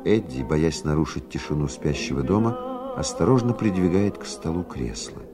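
Deep-voiced male narrator with sweeping intonation. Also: lively intonation, normal speed. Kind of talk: literary scripted narration of a radio play. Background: music.

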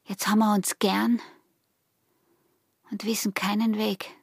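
Breathy, intense Austrian peasant wife. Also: female voice, mid-range voice, level tone, measured pace, no echo, studio quality. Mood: conspiratorial, longing. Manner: hushed, intense, slipping into breathy whispers. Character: passionate, calculating young peasant wife urging murder. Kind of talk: German radio drama acting, intimate.

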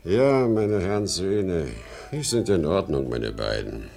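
A man's voice: low voice, big pitch swings, slow pace, creaky, warm tone, exaggerated voice. An actor playing a boastful, insecure aging travelling salesman in a 1950s German radio drama, talkative and exclamatory. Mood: proud, fatherly. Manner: talkative, exclamatory, swinging from bragging to self-doubt.

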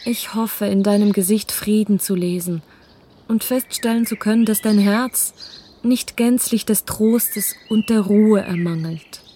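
An actress playing a loving, gentle young woman who reads her letter aloud in a radio drama, measured and affectionate. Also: medium pitch, a little hiss or hum, natural voice. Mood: wistful, affectionate. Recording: radio play with faint birdsong.

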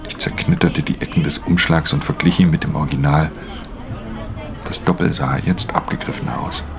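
A man playing a professional pickpocket who recounts his theft in measured, breathy, half-whispered radio drama narration. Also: very deep voice, steady tone, crowd noise. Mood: controlled. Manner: measured, hushed storytelling. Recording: music under the voice.

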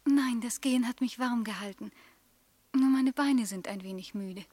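Breathy, dreamy young sweetheart. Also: female voice, fairly high pitch, normal speed, a little mellow, no room sound. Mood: tender, trusting. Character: dreamy, devoted young woman in love, quietly wondering. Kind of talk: German radio play acting, poetic.

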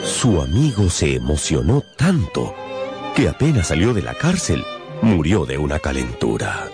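Male radio novel narrator, deep pitch, theatrical storytelling delivery, prominent music bed. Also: huge pitch swings, a little slow.

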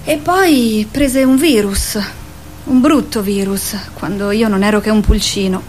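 A woman playing a tender, wistful mother in a radio drama, speaking in a gentle, reflective way. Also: mid-range voice, a little slow, faint music behind, close to an everyday voice. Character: wistful, tender adult mother of a young boy. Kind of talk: theatrical radio drama acting.

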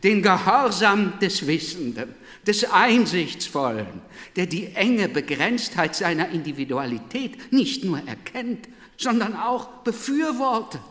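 Servile, fussy male philosopher; high, swooping voice, slow delivery, reverberant hall. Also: big pitch swings, fairly bright tone, heavily acted. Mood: fervent, deferential. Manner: obsequious, stammering, pedantic, flowery phrasing. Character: servile, nervous philosophy professor pleading before a high court. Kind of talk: radio play acting. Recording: large hall with long reverb.

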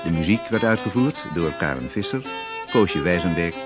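A man with a fairly low, lively voice makes a scripted announcement of radio drama credits. Loud string music plays behind him. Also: normal speed.

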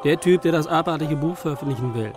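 A man's voice: a little high, fast, close to an everyday voice. An actor playing a brash young male activist in a radio crime play, slangy and confrontational. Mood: hostile. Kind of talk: German radio play dialogue. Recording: music behind the voice.

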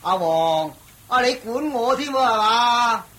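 An actor playing a rude, hot-tempered traveller, brash and confrontational, speaking Hong Kong Cantonese in radio drama style. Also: male voice, very high voice, animated, takes it slowly, bright, forceful tone, exaggerated voice. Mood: hostile, aggressive. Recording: faint background noise.

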